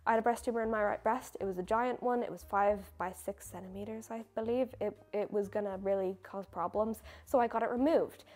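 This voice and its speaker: A woman's voice: medium pitch, fairly even intonation, fairly quick, hardly any pauses, darkish tone. A young woman, a baker, answering viewer questions in a chatty, self-mocking way. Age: young adult. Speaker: baker and video creator. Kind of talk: casual question-and-answer chat.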